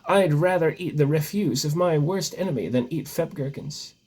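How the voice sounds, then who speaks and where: A man voicing an indignant, disgusted gentleman who boasts emphatically in a comic storybook reading. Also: a little high, moderate pace, slightly rough. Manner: emphatic, indignant one-upping. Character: disgusted gentlemen trading exaggerated gherkin-hating boasts.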